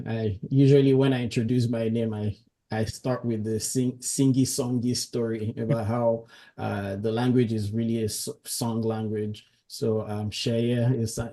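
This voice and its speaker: Man, Nigerian accent, mellow relaxed timbre, conversational guest, frequent chuckles. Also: medium pitch, unhurried. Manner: chuckles often while talking. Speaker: podcast guest, coach. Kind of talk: casual conversational interview.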